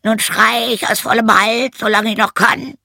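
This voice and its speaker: A storyteller voicing a loud, indignant male rooster with an alarmed, emphatic delivery. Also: medium pitch, steady tone, moderate pace, vocal fry, dry recording, clean studio sound, voice pushed up, full character voice.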